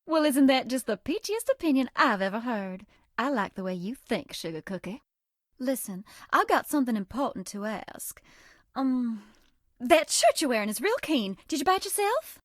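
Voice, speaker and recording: Flirty sweet southern belle for a dating game, higher voice, coy n folksy, very dry recording. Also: female voice, sing-song, normal speed, no room sound. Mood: bashful, eager. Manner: coy, folksy, old-fashioned endearments. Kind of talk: dating game character voice acting.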